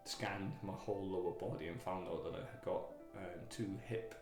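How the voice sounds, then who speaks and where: A man from Wales, a guest telling his own story in a candid interview, halting, with many fillers and restarts. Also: sits low, fairly even intonation. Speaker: guest, army veteran. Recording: echoey room with music behind.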